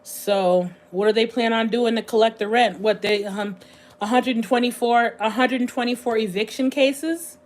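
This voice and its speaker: A woman hosting a casual livestream, chatting in a rambling, meandering way, her voice slightly hoarse and going scratchy. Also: a little high, fairly even intonation. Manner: rambling, chatty, thinks aloud with frequent pauses. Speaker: livestream host.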